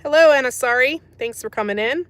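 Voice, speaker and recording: A woman's voice: high voice, fairly quick, no echo. Chatty woman hosting a livestream. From USA. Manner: chatty, reads and answers live chat, laughs often. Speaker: livestream host. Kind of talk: casual livestream chat.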